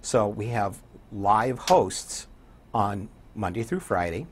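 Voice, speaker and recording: Husky older American man. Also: on the low side, sing-song, slow pace. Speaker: radio program director and DJ, interview guest. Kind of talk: conversational studio interview. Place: small indoor TV studio.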